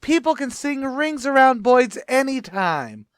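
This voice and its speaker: A man's voice: very high voice, big pitch swings, unhurried, clean tone, no echo, clean studio sound, exaggerated voice. A man playing a boastful, wisecracking tavern manager in an old-time radio comedy, with a pompous, blustery delivery.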